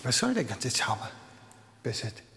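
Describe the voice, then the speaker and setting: Contemptuous KGB chief with gravelly voice. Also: male voice, mid-range voice, big pitch swings, moderate pace, noisy background. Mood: wary. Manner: disdainful, emphatic. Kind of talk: radio drama acting. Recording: reverberant hall acoustic.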